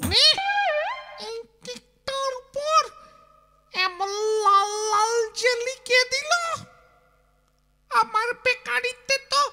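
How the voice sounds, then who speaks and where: An actor voicing an irate, blustering bakery owner in a children's cartoon, with a creaky, strained voice and repeated words. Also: very high voice, heavily acted. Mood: puzzled, suspicious. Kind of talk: exaggerated children's cartoon acting.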